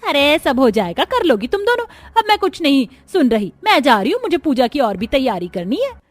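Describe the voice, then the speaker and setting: A woman voicing a bossy, demanding middle-aged mother-in-law in an animated family story, brisk and dismissive. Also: high voice, no echo. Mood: insistent.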